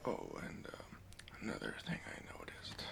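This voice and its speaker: A man playing a stingy, demanding boss in an ASMR roleplay, speaking in a breathy, hesitant whisper with condescending politeness, close to the microphone. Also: normal speed, a bit bright, close to an everyday voice. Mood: condescending, fussy. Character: stingy, demanding male boss giving a job interview.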